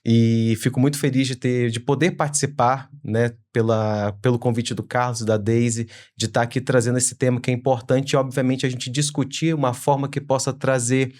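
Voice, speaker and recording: A man's voice: mid-range voice, little pitch movement, normal speed, hardly any pauses, darkish tone. A young adult man from Manaus, a lawyer, explaining carefully as a guest on a podcast interview. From Manaus, Amazonas, Brazil.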